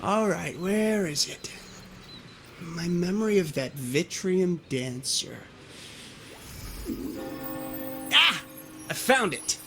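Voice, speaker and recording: A flamboyant film studio chief in a video game. His voice sits high and swoops widely with theatrical flourish. He is effusive and grandiloquent, with music faintly underneath. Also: slow, creaky, full character voice. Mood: eager, theatrical. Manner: grandiloquent, dramatic, animated.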